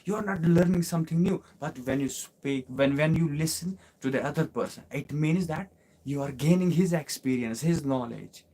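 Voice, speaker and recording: A man with a Kashmiri Indian English accent teaches a live online English class in a fairly high voice. He repeats his key points and keeps asking his listeners rhetorical questions. He answers viewers' questions as he goes. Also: moderate pace, mellow. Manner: repetitive, instructive, asks listeners rhetorical questions.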